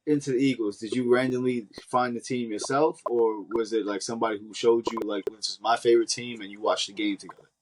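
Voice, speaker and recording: A man's voice: medium pitch, fairly even intonation, fast, hardly any pauses, a little hiss or hum, poor audio. A man co-hosting a football podcast, teasing his partner and steering the conversation. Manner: teasing, laughs often, steers the talk. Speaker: podcast co-host. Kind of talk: casual sports chat.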